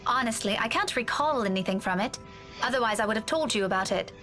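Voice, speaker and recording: A woman's voice: on the high side, expressive, quick pace, music in the background, natural voice. An actress voicing a defensive, independent young woman in a horror visual novel. She sounds insistent, then hesitant when pressed.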